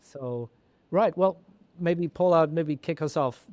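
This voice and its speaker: A man from the Netherlands, a native Dutch speaker, hosting an academic podcast and asking his guests measured, appreciative questions. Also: fairly high pitch, a little mellow, dry recording. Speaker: podcast host.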